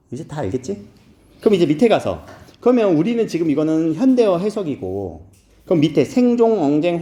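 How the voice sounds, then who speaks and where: A man's voice: fairly high pitch, dark tone, reverberant. A man from Seoul teaching a class, asking his students questions and making playful asides. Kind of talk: classroom lecture.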